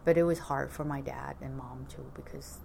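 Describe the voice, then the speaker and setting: A woman, speaking haltingly and softly as an interviewee recalling her family's history. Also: a bass voice, steady tone, gravelly, slight background noise. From Vietnam. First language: Cantonese. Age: 40s. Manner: halting, soft-spoken, frequent laughs.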